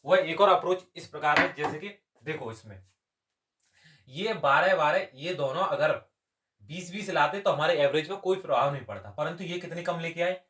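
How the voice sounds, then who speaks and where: A man's voice: fairly high pitch, moderate pace, fairly bright tone. A man teaching step by step as an exam maths coach, asking questions and answering them himself. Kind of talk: step-by-step lesson.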